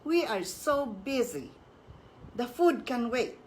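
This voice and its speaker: A woman's voice: a little high, big pitch swings, slow, pitched above the performer's own voice, poor audio. A bossy, money-loving lady of the house in a storybook tale, read by a woman narrator. She gives orders in a raised, exclaiming voice, with faint noise behind. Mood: dismissive. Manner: commanding, exclaiming. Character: rich greedy wife, bossy lady of the house.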